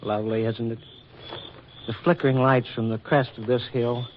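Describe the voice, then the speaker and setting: An actor playing a courtly, earnest government official who woos in stiff, hesitant, formal speech, in 1940s radio drama style. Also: male voice, mid-range voice, flat delivery, normal speed, close to an everyday voice. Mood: tentative, romantic. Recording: muffled, noisy 1940s radio recording.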